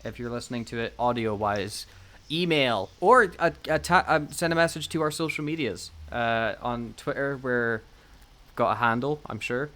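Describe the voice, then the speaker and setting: A man's voice: medium pitch, moderate pace, bright, forceful tone. Jokey, rambling male podcast co-host. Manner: jokey, mock-confident, rambling. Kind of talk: casual unscripted podcast banter.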